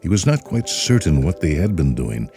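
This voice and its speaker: A man reading a story aloud in a measured, expressive way. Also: low voice. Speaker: narrator. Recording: music bed under the voice.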